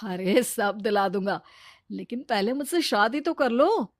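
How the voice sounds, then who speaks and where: A woman's voice: mid-range voice, lively intonation, measured pace, dry recording, studio quality. Woman acting an arrogant, fickle husband. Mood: pleading, smitten.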